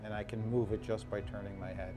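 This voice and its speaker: A man's voice: fairly low pitch, flat delivery, quick pace. A man speaking as an interviewed medical expert, explaining things in unscripted speech. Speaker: doctor interviewed for news report. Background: music.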